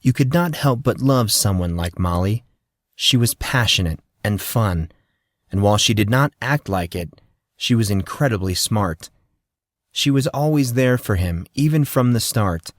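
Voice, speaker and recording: A male audiobook narrator with a fairly low voice, telling the story at a steady, measured pace. The sound is dry and close, with no room around it. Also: no room sound.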